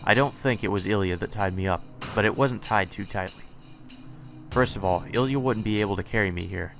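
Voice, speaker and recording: A man's voice: on the low side, brisk, creaky, faint music behind. A man reading visual novel text aloud with casual commentary, sometimes stumbling over words and correcting himself.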